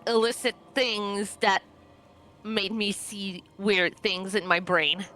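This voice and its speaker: Slow-paced, bright-voiced woman roleplayer. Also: medium pitch, fairly even intonation. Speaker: player in a tabletop roleplaying game. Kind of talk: improvised in-character conversation.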